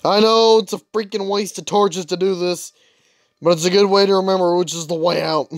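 A man's voice: high-pitched, steady tone, normal speed, no room sound. A young man casually commenting on his own gameplay, chatty and grumbling, talking to himself as he plays. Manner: grumbling, chatty, talks to himself while playing. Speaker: gaming channel host. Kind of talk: casual let's-play commentary.

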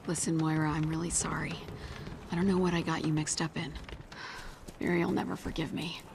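A woman's voice: sits low, flat delivery, brisk, gravelly, noisy background. An actress playing a determined, level-headed woman survivor in a horror video game, speaking tersely and earnestly. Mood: subdued, caring. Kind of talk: video game drama acting.

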